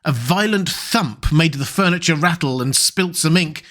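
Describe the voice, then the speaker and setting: A man reading a classic adventure novel aloud, his voice a touch higher than most men's. He keeps a measured, even pace through the narration. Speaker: audiobook narrator.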